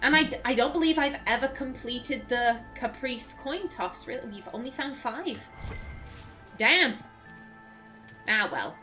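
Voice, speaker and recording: A woman's voice: on the high side, lively intonation, measured pace, sharp tone, faint music behind. A young woman chatting through gameplay as a let's play host, giggling and stumbling over her words. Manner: chatty, giggly, self-interrupting. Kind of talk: casual gameplay commentary.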